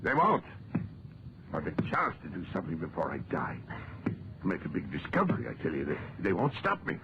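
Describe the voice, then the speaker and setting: Obsessed excavator: feverish, insistent, swooping delivery, 1940s radio horror, muffled old recording. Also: male voice, sits low, wildly swooping pitch, fairly quick, heavy background noise, heavily acted. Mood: feverish, determined. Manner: feverish, insistent, repeats himself. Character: obsessed, frantic male amateur excavator, defiant property owner.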